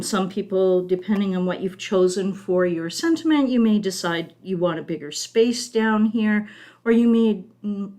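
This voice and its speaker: A woman's voice: mid-range voice, a little mellow. A woman walking viewers through a card-making class step by step, her voice still recovering from a long illness. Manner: patient, methodical, talks through each step with fillers. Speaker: craft class instructor.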